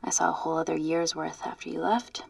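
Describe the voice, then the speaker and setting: A woman's voice: deep voice, level tone, fast, creaky, breathy edge, heavily acted. Composed, matter-of-fact woman scientist. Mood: pointed, guarded. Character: composed woman scientist leading a high-security lab. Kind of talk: naturalistic film acting.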